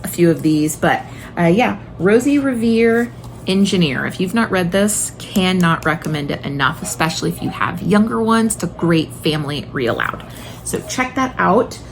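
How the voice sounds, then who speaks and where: A woman's voice: on the low side, lively intonation, moderate pace, gravelly, a little hiss or hum. A woman in her late thirties chatting casually and enthusiastically to viewers as she recommends books, with emphatic, informal delivery. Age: late 30s. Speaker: homeschooling mother and video host.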